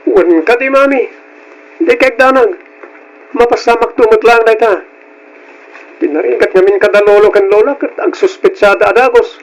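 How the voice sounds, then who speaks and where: A man voicing a cheeky, evasive high school boy with an Ilocano accent in a radio drama. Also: moderate pace, pauses often, rough recording. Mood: defensive. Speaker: drama voice actor.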